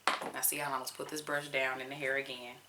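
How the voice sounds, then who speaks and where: A woman's voice: a bass voice, level tone, fast, heavy background noise. A young woman from Georgia with a Southern accent, a teacher chatting candidly to her viewers while she detangles her hair at home. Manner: candid, chatty, talks straight to viewers with casual slang. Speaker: teacher and vlogger.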